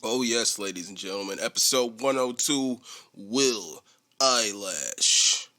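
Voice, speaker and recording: A young man hosts a solo podcast, rambling through stories with plenty of swearing. His voice is bright and forceful, and his delivery is animated and casual. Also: fairly low pitch, slow pace. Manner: rambling, profane, animated storytelling. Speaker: podcast host.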